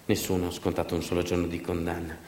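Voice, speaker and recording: A man performing a theatrical narrative monologue, emphatic and dramatic, with deliberate repetitions and pauses. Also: low-pitched, completely flat delivery, a little mellow. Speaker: stage actor and storyteller. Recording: echoey room.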